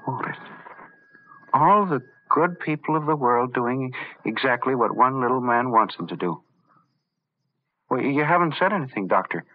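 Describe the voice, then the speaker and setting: An actor playing a smug, power-mad little man with mock-polite, halting speech in an old radio horror drama. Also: mid-range voice, phone-quality sound. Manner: mock-polite, halting, taunting tag questions. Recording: band-limited vintage radio drama recording.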